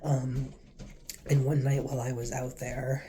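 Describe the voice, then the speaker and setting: A man with a cold and a stuffy nose, telling his faith testimony in a casual, earnest, rambling way, now and then dropping to a soft whisper. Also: mid-range voice, level tone, a little slow. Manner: earnest, rambling, heavy with fillers. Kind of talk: casual spoken testimony to camera.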